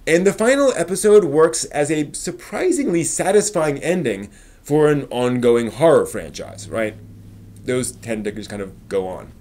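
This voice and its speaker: A man hosting a review stream, reading scripted commentary with emphatic, measured phrasing and casual conversational asides. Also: fairly high pitch, a little mellow. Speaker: host of an anime review live stream.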